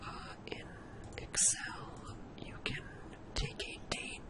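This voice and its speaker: A person giving an ASMR software lesson in a breathy, unvoiced whisper, explaining step by step in an instructional way. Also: slow pace. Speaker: ASMR tutorial host.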